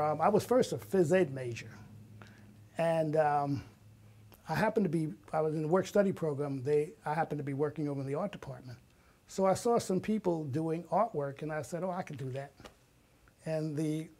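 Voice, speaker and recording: A man's voice: a little high, darkish tone. A man in his sixties telling personal stories in conversation as an interview guest, acting out bits of remembered dialogue. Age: 60s.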